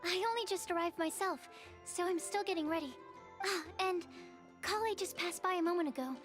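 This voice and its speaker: A polite young woman scholar from a video game. The voice is very high and girlish, explaining things earnestly with slight hesitance. Faint music plays underneath. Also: fairly quick. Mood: friendly. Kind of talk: scripted video game dialogue. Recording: music behind the voice.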